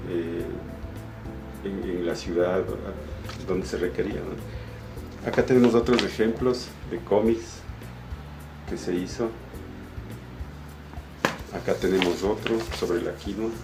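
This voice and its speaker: An Ecuadorian man giving an informal, somewhat halting explanation of his work as an illustrator, often repeating words. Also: low-pitched, steady tone, gravelly. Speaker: comic illustrator. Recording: roomy echo with music behind. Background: background music.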